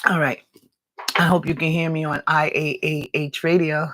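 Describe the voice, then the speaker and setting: A woman hosting a live talk radio show with a raspy voice and a dry throat, lecturing earnestly and answering viewer comments. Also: low voice, flat delivery, moderate pace, a bit bright, a little hiss or hum, poor audio. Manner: earnest, lecturing, with small laughs and restarts.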